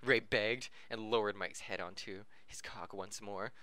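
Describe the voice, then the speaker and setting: A man reads a story aloud for a podcast in a bright, forceful voice. He sounds informal and stumbles into corrections and joking asides. The sound is dry, with little room on it. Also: lowish voice, fairly even intonation, unhurried, no echo. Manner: breaks off to laugh, joke and correct misreadings. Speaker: podcast host reading fan fiction aloud.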